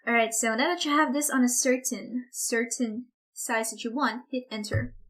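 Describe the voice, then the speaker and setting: Lady doing a casual screen tutorial, kinda high voice, a bit hoarse, says like a lot. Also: normal speed, fairly bright tone. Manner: casual, chatty, lots of "like" and "kind of". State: losing her voice, a bit hoarse. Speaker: online course instructor. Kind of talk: screen-recorded software tutorial.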